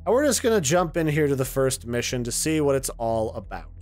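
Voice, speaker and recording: A man's voice: mid-range voice, big pitch swings, a little fast, a little mellow. A man giving casual, chatty and opinionated commentary as the host of a game preview. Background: music.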